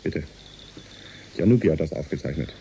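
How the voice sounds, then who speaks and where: A man's voice: very deep voice, normal speed, vocal fry, warm tone, a little hiss or hum, exaggerated voice. An actor in a German radio drama playing a dutiful spaceship engineer reporting to his captain in clipped phrases. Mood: businesslike. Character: uneasy spaceship engineer and navigation officer, subordinate.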